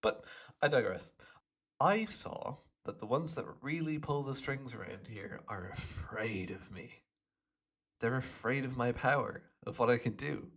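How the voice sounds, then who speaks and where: A man acting out a smug, chatty imprisoned supervillain with hypnotic telepathy, musing playfully to the listener in an ASMR roleplay. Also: mid-range voice. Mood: wry, resentful. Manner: playful, smug, unhurried musing with little chuckles.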